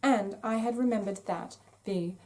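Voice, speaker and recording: Woman, craft vlog host, casual chat, gushing enthusiasm, soft mellow tone, noisy recording with clicks. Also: mid-range voice, moderate pace.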